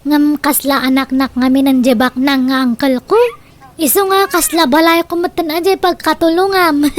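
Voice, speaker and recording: Woman acting a high-pitched, cheeky Ilocano daughter. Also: steady tone, moderate pace, a little hiss or hum, lightly acted. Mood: breezy. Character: cheeky, carefree adult daughter living off her uncle. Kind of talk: Ilocano comedy radio drama acting.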